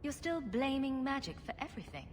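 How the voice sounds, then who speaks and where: A woman's voice: high-pitched, very animated, brisk, a little mellow, slight background noise. A woman playing a witty, sarcastic female rogue adventurer in a video game, with a playful delivery. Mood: wry. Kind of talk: dramatic video game acting.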